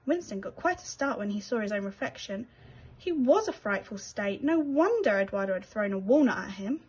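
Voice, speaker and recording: A woman with a British accent reading a children's bedtime story aloud in an expressive storytelling style. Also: mid-range voice, a little fast, husky.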